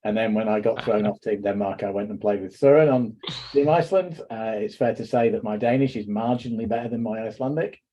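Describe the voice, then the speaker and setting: A man's voice: lowish voice, brisk, warm tone, slightly rough, highs cut off. A middle-aged man hosting a casual podcast chat, rambling and wry with self-deprecating jokes. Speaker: podcast host.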